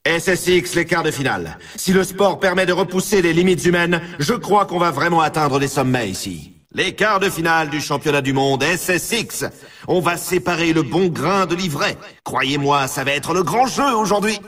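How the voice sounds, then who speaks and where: An excitable video game sports announcer, pitched fairly high and very animated. He delivers scripted commentary with theatrical grandeur, hyping the competition in sweeping rises and falls. The voice has a husky rasp to it. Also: distant mic. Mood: hyping, grandiose. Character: excitable, grandiose male snowboard championship announcer.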